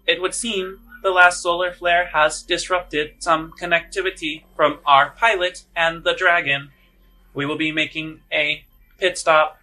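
Man putting on a formal starship announcer, raised pitch. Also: steady tone, measured pace, sharp tone, soft background music. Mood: formal, apologetic. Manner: formal, scripted announcement delivery. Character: polite official public-address announcer on a passenger starship.

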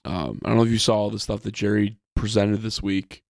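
A man's voice: on the low side, steady tone, a little fast, vocal fry, no room sound, studio quality. An American man co-hosting a sports podcast in casual fan chat. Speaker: podcast co-host.

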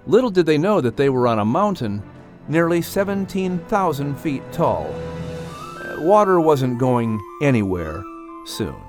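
A man's voice: on the high side, big pitch swings, measured pace, darkish tone, soft background music. A man reading a children's audiobook as a dramatic storyteller. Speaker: audiobook narrator.

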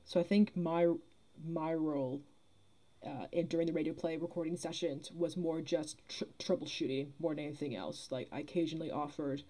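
Woman, dark mellow tone, casual interview, tentative, reflective. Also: deep voice, fairly even intonation, a little fast. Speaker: theatre producer, podcast guest.

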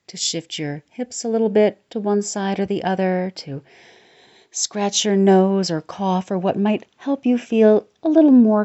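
A woman guiding a relaxation meditation, speaking slowly and soothingly and sometimes sinking into a soft near-whisper. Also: medium pitch. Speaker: meditation guide. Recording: slightly dull lo-fi recording.

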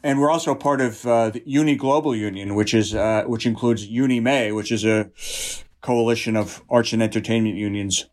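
A man giving explanatory interview answers as a union representative, in a blunt, deliberate manner. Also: lowish voice.

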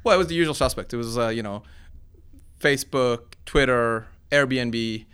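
His voice is smooth and clear, sitting at an ordinary male pitch. He is a man in his forties from Iran who grew up in Sweden. He answers interview questions conversationally, explaining things informally like a researcher turned founder. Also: normal speed, fairly bright tone. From born in Iran, grew up in Sweden. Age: 40s. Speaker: tech company founder and computer science researcher being interviewed.

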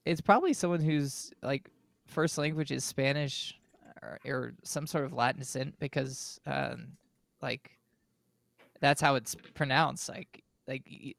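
A man's voice: mid-range voice, unhurried, vocal fry, a bit bright, dry recording. A man chatting casually as co-host of a podcast. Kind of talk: casual chat.

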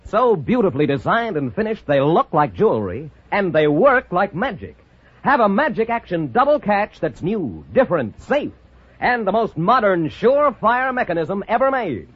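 A man's voice: high voice, sing-song, moderate pace, husky. A man announcer reading a 1940s radio commercial with emphatic salesmanship. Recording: muffled old radio recording.

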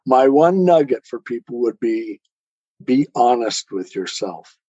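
A mellow, husky-voiced man answers as a guest in a conversational interview. The sound is dry and a little muffled and lo-fi. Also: mid-range voice, animated, measured pace, dry recording. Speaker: podcast guest, author and poet.

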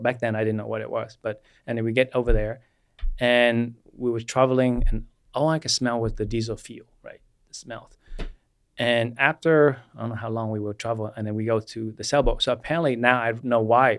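A man in his forties from Vietnam, whose first language is Vietnamese, telling his life story as a guest chiropractor in an unhurried way with many fillers. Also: medium pitch, a bit bright, dry recording. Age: 40s. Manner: unhurried, with frequent fillers and restarts. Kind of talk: personal storytelling in an interview.

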